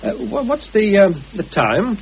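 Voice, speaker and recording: Courteous playwright, animated intonation, radio drama, muffled recording with clear hiss. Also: male voice, high-pitched, unhurried, exaggerated voice. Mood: courteous, brisk. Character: courteous playwright on his way home. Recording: dull, hissy old radio recording.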